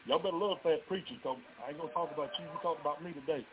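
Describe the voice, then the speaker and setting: Southern man, revival preacher, high voice, folksy calls to the crowd, in a tent, tinny phone-like sound. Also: racing, background noise. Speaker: pastor. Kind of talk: revival sermon to a live congregation. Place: revival tent near a residential street. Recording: tinny phone-line live stream.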